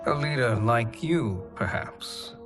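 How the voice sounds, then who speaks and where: An actor playing a scheming, ambitious sorcerer in a video game, speaking in a formal, measured, calculating way. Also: male voice, mid-range voice, lively intonation, music in the background. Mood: conspiratorial. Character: scheming, smooth-talking, ambitious evil sorcerer. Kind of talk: dramatic video game acting. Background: dramatic score.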